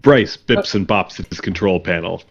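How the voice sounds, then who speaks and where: A man narrates scripted stage directions in a deep voice. His delivery is deadpan. The recording is a little dull and lo-fi. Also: moderate pace, gravelly, slightly rough.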